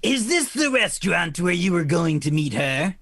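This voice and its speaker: A performer playing a hesitant, gently teasing male coworker in a 1940s radio play, with coy, drawn-out pauses. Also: medium pitch, very animated, vocal fry, no room sound, heavily acted. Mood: coaxing.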